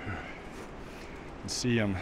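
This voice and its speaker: A man guiding viewers on a walk up a snowy trail, chatting casually between breaths as he climbs. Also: very slow. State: winded from walking uphill. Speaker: vlogger and virtual walk guide. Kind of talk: casual narration while hiking. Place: outdoors on a snowy trail by a waterfall. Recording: outdoor recording with loud wind and water noise.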